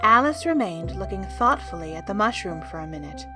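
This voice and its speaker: A woman reading scripted storybook narration for an audio drama, as an expressive storyteller. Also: mid-range voice, a little fast. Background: music.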